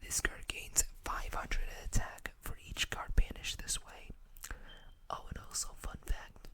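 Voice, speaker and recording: Slow, whispering woman doing ASMR. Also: sharp tone. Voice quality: breathy, unvoiced whisper. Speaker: ASMR video host. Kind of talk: whispered ASMR show-and-tell.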